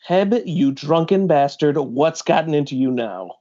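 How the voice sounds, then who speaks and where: A man reading a big, seasoned pirate bounty hunter from a comic, wry, measured and explanatory. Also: a little high, lively intonation, mellow, lo-fi sound. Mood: weary, patient.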